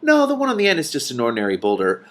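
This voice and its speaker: A man's voice: mid-range voice, wildly swooping pitch, very fast, fairly bright tone, voice pushed up. A man voicing a matter-of-fact female dragon from a storybook, explaining things with dry, scornful authority.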